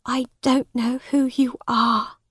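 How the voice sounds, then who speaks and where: A woman's voice: fairly high pitch, level tone, slow pace, slightly rough, no room sound, clean studio sound, pitched above the performer's own voice. A woman reading a storybook, voicing a sad, frightened young noblewoman who speaks coldly.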